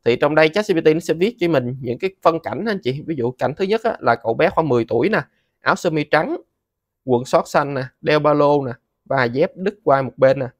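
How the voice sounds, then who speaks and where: Higher-voiced Southern Vietnamese man teaching. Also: animated, brisk, dry recording, studio quality. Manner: conversational, frequent sentence-ending particles addressing viewers. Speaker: tutorial host. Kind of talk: casual step-by-step screen tutorial.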